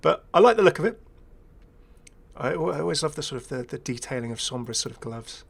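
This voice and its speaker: A man's voice: a little high, very animated, brisk, gravelly, husky. A man giving casual, rambling first-impressions commentary as a gaming video creator, chatty, enthusiastic and self-deprecating.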